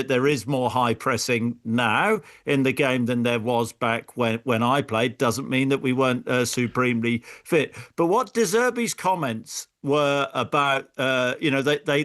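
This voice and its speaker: A middle-aged man with a medium-pitched voice talks as an ex-player pundit. He debates football emphatically, often restarting and repeating words as he builds his point. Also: fairly bright tone. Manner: halting, repetitive, emphatic.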